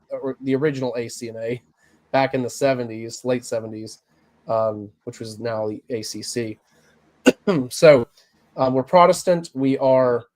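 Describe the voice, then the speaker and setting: A man who is a priest, talking earnestly in a casual interview, his voice raspy as he recovers from bronchitis, with many fillers and restarts. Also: medium pitch, a little mellow. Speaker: Anglican priest, guest.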